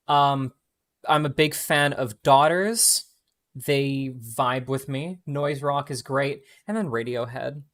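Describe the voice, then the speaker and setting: A man's voice: fairly high pitch, a bit bright. A young man from Canada with a Canadian accent, hosting a casual livestream Q&A from home over a video call in an upbeat, chatty way. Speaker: host reading community questions. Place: home room with gaming chair.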